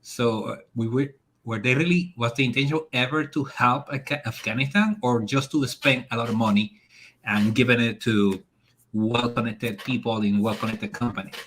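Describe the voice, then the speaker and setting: A man from the Dominican Republic with a Dominican Spanish accent, speaking as a guest in a casual political discussion in a halting, conversational way. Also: mid-range voice, steady tone, a bit bright, slight background noise. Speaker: guest on a talk show.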